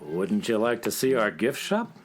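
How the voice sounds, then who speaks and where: A man's voice: lowish voice, wildly swooping pitch, measured pace. An actor playing a cheerfully dim male alien guide in a children's radio drama, chatting like a chipper salesman. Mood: salesy. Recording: faint music behind.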